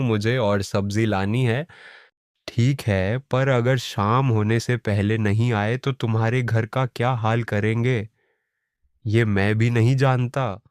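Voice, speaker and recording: A man's voice: medium pitch, clear, resonant tone, dry recording, clean studio sound, lightly acted. A man voicing a hapless, cheeky village priest in a cartoon, with a theatrical, pleading manner. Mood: placating.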